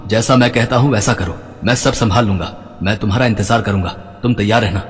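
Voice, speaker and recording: A man voicing a scheming, drunken young husband, the villain of an animated melodrama. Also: fairly low pitch, a little fast, in a large hall, voice pushed down, close to an everyday voice. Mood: coaxing.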